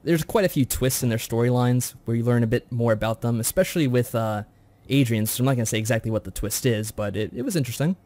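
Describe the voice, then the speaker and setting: A man co-hosting a casual review chat, talking in a measured, mild-mannered way. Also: medium pitch, warm tone, no echo. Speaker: podcast co-host.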